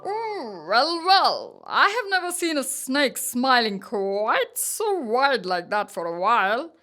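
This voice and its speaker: Woman as a high, swooping, friendly stork. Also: sing-song, slow pace, bright, forceful tone, faint music behind, exaggerated voice. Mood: amused. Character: friendly, breezy male stork who loves flying. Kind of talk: children's storybook character acting.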